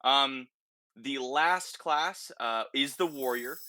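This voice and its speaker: A man's voice: medium pitch, slow pace, pushed and bright. A man co-hosting a casual podcast discussion about a video game, talking informally with lots of "like" and "kinda". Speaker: podcast co-host presenting game details.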